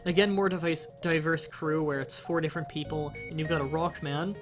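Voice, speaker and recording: A man's voice: on the high side, steady tone, a little fast, over music. A slightly sick young man with a nasal voice, casually explaining a game in a rambling stream commentary. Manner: rambling, explains game mechanics with fillers and restarts. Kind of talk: casual let's-play commentary.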